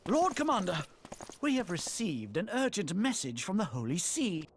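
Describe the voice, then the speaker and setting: A woman's voice: medium pitch, very animated, normal speed, a little hiss or hum. A woman playing a formal, grim female knight officer in a fantasy video game drama. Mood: urgent, formal.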